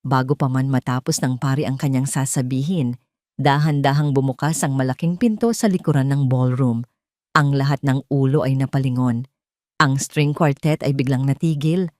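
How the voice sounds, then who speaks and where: A woman's voice: extremely low pitch, moderate pace, a little mellow, dry recording, clean studio sound. A woman with a Filipino accent narrating a scripted dramatic story.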